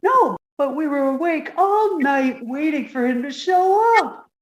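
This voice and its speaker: Scatterbrained young secretary played by a woman, very high and lively, naive and literal, 1950s radio comedy style, roomy recording. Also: a little slow. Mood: naive, earnest. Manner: guileless, earnestly literal.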